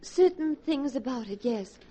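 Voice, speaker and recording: A woman's voice: high voice, expressive, a little slow, dark tone. A woman playing an anxious, pleading wife in an earnest, melodramatic 1940s radio horror drama. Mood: worried. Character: anxious, pleading wife haunted by her husband's obsession. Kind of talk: 1940s radio horror acting and first-person narration. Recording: noisy vintage 1940s radio recording.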